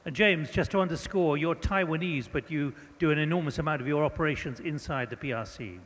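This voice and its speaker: A man moderates a panel, putting short, direct questions in a slightly high voice. The sound has a long hall reverb. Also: a little fast, fairly bright tone.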